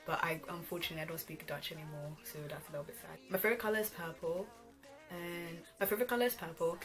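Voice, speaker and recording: A woman's voice: fairly low pitch, fairly even intonation, fast talker, a bit bright. A 21-year-old woman from Ghana who later moved to England, chatting casually and conversationally as a vlog host. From Ghana, later England from age twelve. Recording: background music under voice. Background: R&B pop music.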